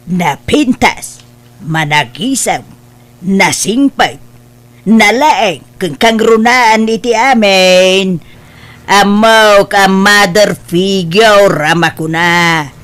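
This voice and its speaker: A doting mother of a grown son acted by a woman in an Ilocano family drama. She speaks slowly and tenderly, with an Ilocano accent and a middling pitch. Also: fairly bright tone. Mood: earnest. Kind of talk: Ilocano radio-style family drama acting.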